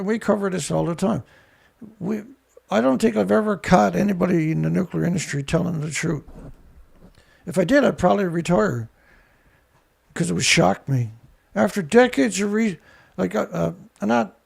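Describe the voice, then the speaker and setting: A man hosting a ranting commentary show, with a rough voice, blunt, angry and swearing. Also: sits high, a little mellow. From Canada. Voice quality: rough, gravelly voice. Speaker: show host.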